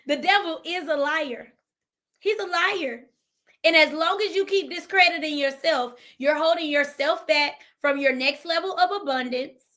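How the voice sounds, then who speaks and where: A woman's voice: very high voice, bright, forceful tone. A woman, talking emphatically on a livestream in a preachy way and reading viewer comments aloud. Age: 30s. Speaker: financial strategist and livestream host. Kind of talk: live stream motivational talk.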